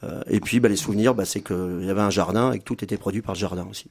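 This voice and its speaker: Man, 50s, chef guest; low gravelly voice, hesitant and halting delivery. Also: darkish tone. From France. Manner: hesitant, many fillers and restarts. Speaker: restaurant owner and chef, guest. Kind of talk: casual radio interview.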